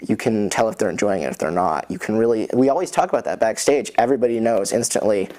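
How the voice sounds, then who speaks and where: Lowish-voiced young man, interviewed. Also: expressive, quick pace, darkish tone. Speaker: youth theatre member. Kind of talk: documentary interview.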